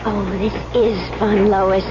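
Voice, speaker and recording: A woman's voice: medium pitch, little pitch movement, takes it slowly, natural voice. An actress playing an innocent, trusting young woman in an old-time radio mystery, with a hesitant, girlish manner. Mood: delighted. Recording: dull, noisy old radio recording.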